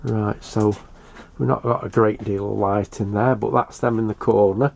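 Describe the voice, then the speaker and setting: A man's voice: lowish voice, fairly even intonation, normal speed, creaky, darkish tone. An older British man giving a casual show-and-tell commentary in a hesitant, matter-of-fact way. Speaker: hobbyist video presenter.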